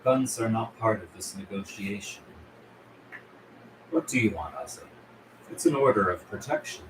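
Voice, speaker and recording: In a roomy, echoing, noisy recording, a man acts out a coolly calculating accountant in a survival horror film. His voice is on the low side, and his phrasing is measured, formal and condescending. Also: expressive, lightly acted. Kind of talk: table read of a film script. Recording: roomy echo with clear background noise.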